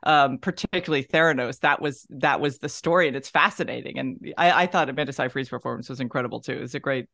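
A man joining a casual panel chat, making his point quickly. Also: mid-range voice, gravelly, sharp tone, no room sound, studio quality.

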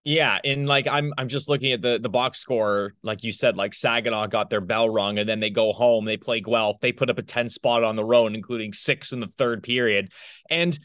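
A man's voice: medium pitch, fairly even intonation, moderate pace, fairly bright tone, no echo, studio quality. A man co-hosting a sports podcast in casual, loose analysis, rephrasing as he goes.

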